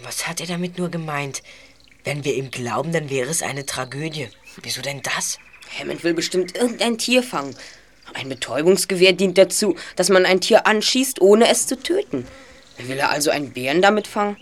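A woman's voice: low voice, animated, moderate pace. A woman playing a curious, polite and earnest young detective in a German audio drama. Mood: puzzled, reasoning.

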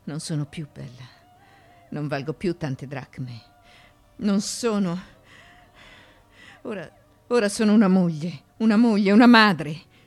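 A proud, defiant courtesan of ancient Athens in a radio play. Her delivery is markedly slow and deliberate. She declaims her monologue theatrically. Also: on the low side, lively intonation, soft background music. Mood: defiant, desperate. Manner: deliberate, declamatory, with sudden outbursts.

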